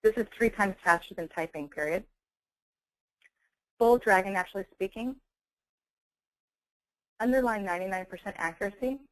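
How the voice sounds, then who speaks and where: A woman giving a webinar software demonstration, enunciating clearly as a presenter. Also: mid-range voice, moderate pace, long pauses, no echo, poor audio. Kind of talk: webinar product demonstration with dictation. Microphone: close handheld speech mic.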